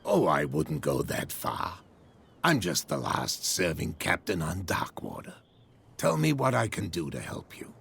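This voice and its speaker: An assured sea captain in a video game. His voice is low, raspy and full of gravel, and he phrases things in a stately, courteous way. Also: wildly swooping pitch, a little slow, a bit bright, heavily acted. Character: proud, unhurried male harbor captain, assured and courteous. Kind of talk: video game cutscene dialogue.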